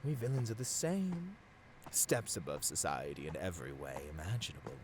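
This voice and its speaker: A man's voice: low voice, very animated, moderate pace, darkish tone, slight background noise. A man playing a seductive, arrogant supervillain in a theatrical ASMR roleplay, silky and grandiose, slipping into whispers. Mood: taunting, exultant. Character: seductive, arrogant male supervillain tempting a hero.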